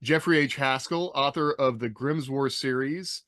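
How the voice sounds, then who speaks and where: A middle-aged man hosts a casual panel podcast. His voice sits at an average male pitch, recorded dry with no room sound. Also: unhurried, fairly bright tone, dry recording. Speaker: podcast host. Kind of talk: casual panel talk with rehearsed introductions.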